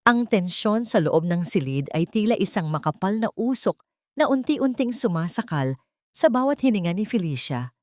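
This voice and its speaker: A woman's voice: medium pitch, very animated, moderate pace, dry recording. A woman narrating a scripted romantic audio drama in a dramatic storytelling style.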